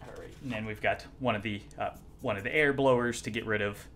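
A man with a lowish voice talks casually through an unboxing at home. He is chatty and self-deprecating, often pausing with ums and restarting his sentences. A faint hiss sits under the recording. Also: fairly bright tone. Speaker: watch reviewer. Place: home room, indoors.

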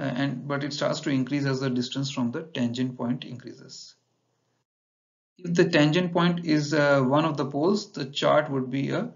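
A man teaching navigation over slides in a measured lecture, often pausing with fillers. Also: medium pitch, vocal fry. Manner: measured, explanatory, with frequent fillers and restarts. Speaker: navigation instructor. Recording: dull lo-fi voice-over.

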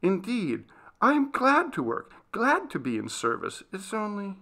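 A meek young servant girl from a storybook, voiced by a man. The pitch is lifted and moves about a lot, and the manner is faltering and pleading, full of earnest deference. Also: very animated, measured pace, a bit bright, exaggerated voice.

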